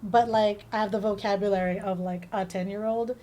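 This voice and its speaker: A woman's voice: mid-range voice, fairly even intonation, fairly quick, mellow, a little hiss or hum. A woman from the Philippines chatting informally as she answers questions as a guest on a casual podcast.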